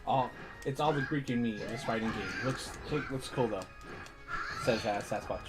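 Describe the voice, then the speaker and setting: A woman's voice: a bass voice, moderate pace, low-quality recording. A woman hosting a casual gameplay stream, reading viewer chat aloud, laughing and swearing at the game. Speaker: streamer and show host. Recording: game music playing behind the voice. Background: music.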